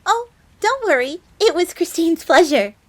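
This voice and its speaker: A woman's voice: very high voice, big pitch swings, a little slow, full character voice. A woman playing a perky, devoted young fox maid in an audio roleplay, with a cutesy, eager manner. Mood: cheerful, eager. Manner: cutesy, eager, refers to herself in third person. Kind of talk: scripted audio roleplay acting.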